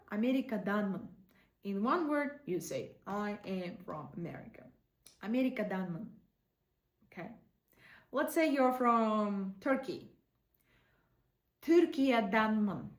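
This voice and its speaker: Slow-paced Kazakh woman teaching. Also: a little high, roomy sound. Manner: explains slowly, repeats words for practice. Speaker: language teacher. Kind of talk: online language lesson.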